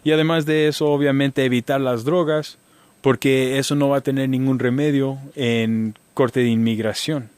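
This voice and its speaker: A man's voice: medium pitch, fairly even intonation, a little mellow, clean tone, no room sound. Guy lawyer on radio, lots of um and restarts, dry close sound. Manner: halting, many restarts and fillers. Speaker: immigration lawyer guest. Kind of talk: radio interview answers.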